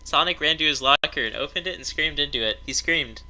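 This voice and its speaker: Male storytime narrator, very bright forceful tone, reading comedy aloud to friends. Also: on the high side, a little fast, poor audio.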